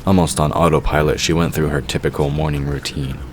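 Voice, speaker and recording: A man's voice: a bass voice, fairly even intonation, fairly quick, a little hiss or hum. A man narrating a fantasy audio drama from a script.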